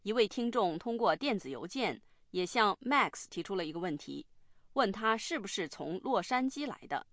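A woman's voice: medium pitch, big pitch swings, normal speed, fairly bright tone, faint music behind. A woman presenting a language lesson in scripted instructional narration.

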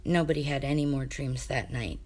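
A woman reading a scripted story aloud as an expressive narrator. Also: very deep voice, fairly quick. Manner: expressive, acts out dialogue. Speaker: amateur audiobook reader of fan fiction.

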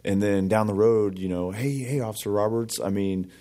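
Male podcast host, low and mellow, affirming, conversational interviewer. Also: steady tone, moderate pace. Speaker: podcast host, police officer.

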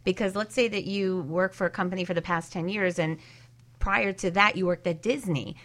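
A woman's voice: mid-range voice, fairly even intonation, normal speed. A woman career coach speaking as a guest on a talk show, giving conversational advice.

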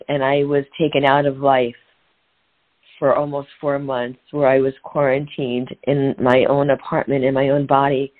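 An earnest, middle-aged woman tells her own story in short, reflective phrases with pauses. She comes through a thin, phone-like line that cuts away the fullness of her voice. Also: a bass voice. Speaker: guest, author and transformational coach. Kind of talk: candid personal story in a podcast interview.

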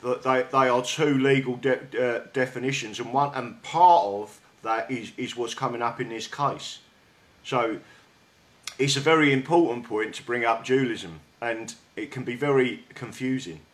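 A South London man hosts a radio show from a studio mic. He chats casually and often stumbles over his words with repeated restarts. His pitch sits in the middle. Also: moderate pace. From England. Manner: hesitant, with many restarts and stammers. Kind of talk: casual interview chat. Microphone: studio mic with big headphones.

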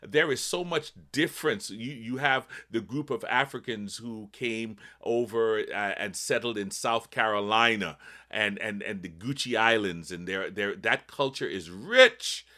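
Man from trinidad, lowish bright forceful voice, rambling panel guest. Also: a little slow. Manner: warm, rambling, many restarts. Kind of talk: panel discussion.

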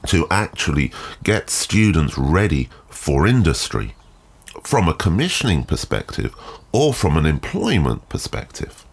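Male podcast host, extremely low voice, nasal with a cold, measured and musing. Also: breathy edge. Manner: thoughtful, measured, with frequent ums and uhs. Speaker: photography lecturer and photographer. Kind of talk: solo podcast monologue. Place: garden shed.

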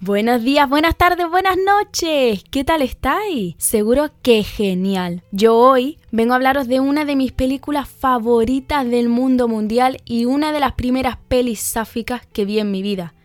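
A woman's voice: high-pitched, moderate pace, few pauses, no room sound, soft background music. A woman hosts a podcast, chatting through a casual film review with personal asides. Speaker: podcast host.

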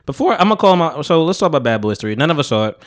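A man in his early thirties hosting a podcast, telling stories in a casual, slangy, animated way. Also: a little high, rapid-fire. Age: early 30s. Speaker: podcast host. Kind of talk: casual chat and storytelling.

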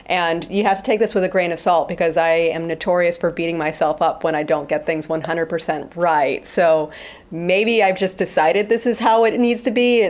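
A woman talking reflectively in an interview as a Foley artist, articulate and thoughtful, with measured phrasing. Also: fairly low pitch. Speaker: guest, Foley artist and theater performer.

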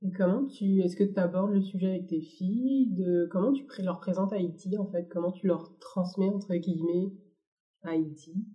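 Mellow-voiced interviewer woman. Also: on the low side, moderate pace, highs cut off. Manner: short prompts and acknowledgements. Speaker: interviewer, podcast host. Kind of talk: casual interview.

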